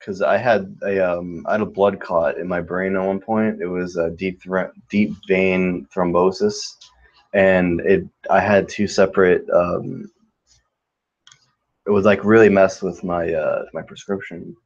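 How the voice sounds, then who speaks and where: Deep-voiced young man, rambling and unsure. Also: fairly even intonation, measured pace. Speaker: player. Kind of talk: casual chat. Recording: dull lo-fi sound.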